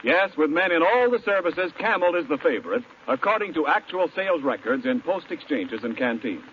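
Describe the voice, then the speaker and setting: A male radio announcer reading a 1940s sponsor pitch in an emphatic, salesmanlike way. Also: very animated, a little fast. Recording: noisy, muffled 1940s radio broadcast.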